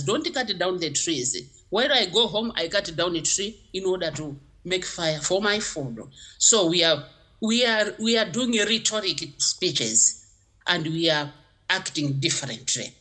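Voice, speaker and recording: Lively bright-voiced woman. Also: on the low side, unhurried. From Malawi. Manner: emphatic, animated explaining. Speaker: geography teacher. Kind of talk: interview answers.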